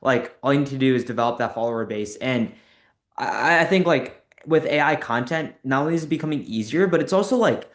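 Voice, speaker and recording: A man's voice: mid-range voice, expressive, a little fast. Teenage male interviewer in an echoey room. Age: teens. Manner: hesitant, very frequent "like". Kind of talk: casual interview. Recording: echoey, roomy sound.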